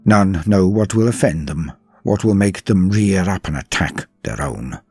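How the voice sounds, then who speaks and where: A man acts out a resolute, world-weary noble governor in a dramatic sci-fi audio story. The voice is very low and husky, with formal, grandiose phrasing delivered in a measured way. Music plays underneath. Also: expressive, mellow, dry recording, heavily acted. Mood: grave concern.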